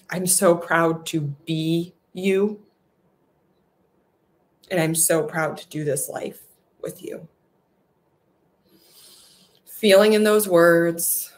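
A woman leading a guided affirmation meditation, speaking softly and soothingly with slow, repetitive phrases. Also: low-pitched.

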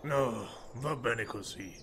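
A man voicing a calculating, scheming villain in an Italian anime fan dub, speaking in a measured way. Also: medium pitch, noisy background, lightly acted. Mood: cold, scheming. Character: calculating villainous man plotting for ultimate power.

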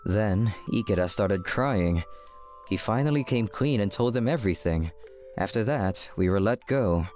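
A man's voice: lowish voice, lively intonation, a little slow, a little mellow, faint music behind. A man voicing a guarded teenage schoolboy who narrates his story casually in a manga dub. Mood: deadpan, narrating. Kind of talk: scripted first-person manga dub narration.

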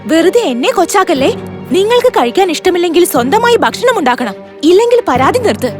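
A quarrelsome young cartoon wife, played by a woman, with an extremely high voice that swings up and down a lot. She is sharp-tongued, emphatic and easily annoyed. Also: very animated, fairly quick, faint music behind. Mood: nagging, annoyed. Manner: complaining, emphatic.

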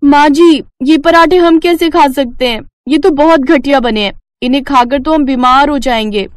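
A woman puts on a greedy daughter-in-law for an animated moral tale. She is pushy and quick to complain, eager for what she wants. The sound is dry and close. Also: high voice, no echo, lightly acted. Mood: protesting. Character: greedy, pushy elder daughters-in-law, grown women with children. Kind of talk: animated moral story dialogue.

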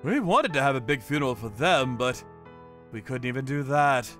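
An actor playing a hot-headed, boastful young yakuza with an emphatic, combative delivery in a scripted anime dub. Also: male voice, mid-range voice, animated, normal speed, fairly bright tone, faint music behind, natural voice. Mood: grave.

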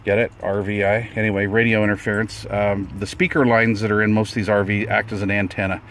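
A man's voice: lowish voice, level tone, quick pace, fairly bright tone, a little hiss or hum. A man giving a casual, plain-spoken hobbyist walkthrough, explaining a setup in informal words as he records on a handheld camera outdoors. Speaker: amateur radio hobbyist presenting his setup. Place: outdoors beside a camper trailer, briefly inside it. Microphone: handheld camera or phone, held by the speaker.

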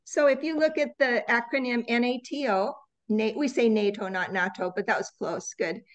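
A woman teaching an online English class over a video call, reading slides slowly and patiently correcting students. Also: a little high, slight background noise. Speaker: English tutor.

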